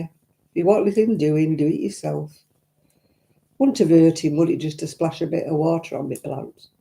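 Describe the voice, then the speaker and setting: Older woman, croaky and dark-toned, chatty rambling livestream talk, crackly mic. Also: on the low side. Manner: chatty, rambling, greets viewers. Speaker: crafting livestream host. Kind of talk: casual chat to live viewers. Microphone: own simple mic, live stream. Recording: crackly audio.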